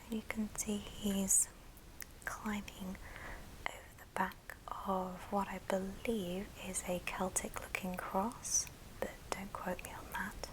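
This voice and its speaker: A woman speaking in a breathy, unvoiced whisper, gently presenting items in an ASMR show and tell with pauses between short phrases. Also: medium pitch, noisy background. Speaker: ASMR video host.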